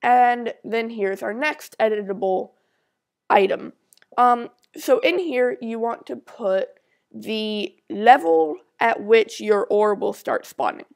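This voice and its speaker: A woman's voice: on the high side, gravelly. A woman with a stuffy nose talking through a coding screencast, reading out code as she types it and thinking aloud. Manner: talks slowly while typing code, thinking aloud. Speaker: tutorial maker.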